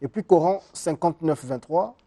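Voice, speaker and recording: A man's voice: fairly high pitch, normal speed, warm tone. A man hosting a studio interview, reading out viewer questions and directing his guest. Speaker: interviewer host.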